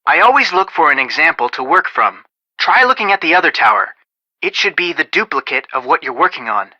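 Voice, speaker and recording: An actor playing a calm, helpful young amateur detective in a video game, giving measured, confident instructions, in slightly dull audio. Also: male voice, a little high, exaggerated voice. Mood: helpful, patient.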